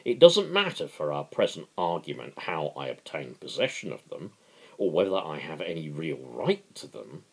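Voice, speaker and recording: A man voicing an earnest workman who lectures his workmates in a deliberate, declamatory way, in a dramatic book reading. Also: a bass voice, very animated, creaky, husky, exaggerated voice, low-quality recording. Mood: declaring.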